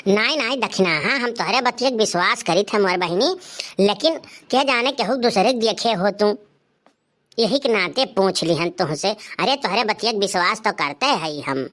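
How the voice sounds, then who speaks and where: A woman's voice: mid-range voice, animated, moderate pace, a bit bright, lightly acted. A woman voicing a protective, scolding Awadhi-speaking village mother in a cartoon comedy, with a blunt, grumbling way of talking. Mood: doubtful, worried.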